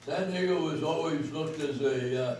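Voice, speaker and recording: A man in his nineties talking at a dinner, halting, with many fillers and chuckles. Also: on the high side, a little mellow. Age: 90s. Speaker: veteran speaking at a dinner. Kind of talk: rambling after-dinner remarks. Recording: echoey hall from far off, noisy.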